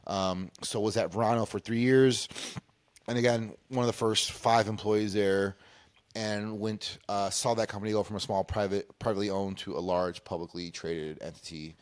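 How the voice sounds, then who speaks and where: A man from Peru speaking as an interview guest, casually recounting his career in a halting way, often circling back to rephrase. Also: lowish voice, steady tone, clear, resonant tone, dry recording. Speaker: interview guest, cannabis industry consultant.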